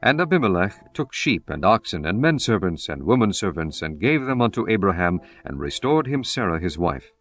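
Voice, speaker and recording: A man's voice: low-pitched, very animated, moderate pace, few pauses, a bit bright. A man narrating a scripture audiobook in a solemn, formal manner, reading archaic text as a scripted performance.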